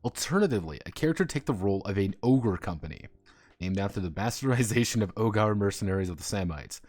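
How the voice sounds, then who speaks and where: A man's voice: on the low side, expressive, fast, darkish tone. A man live streaming as a game designer, rambling casually between reading draft text aloud and making chuckling asides.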